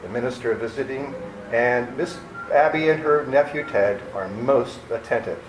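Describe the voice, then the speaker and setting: A man's voice: medium pitch, normal speed, over music. A man announcing and narrating an old-time radio play in scripted style. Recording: roomy recording with faint noise.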